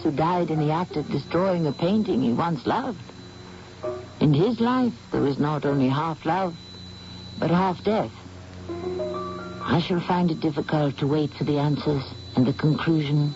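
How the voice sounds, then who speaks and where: A man hosting and narrating an old radio mystery, speaking in a measured, ominous way. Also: fairly high pitch, mellow. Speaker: host and narrator. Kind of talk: scripted dramatic narration. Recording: dull lo-fi old radio recording with music.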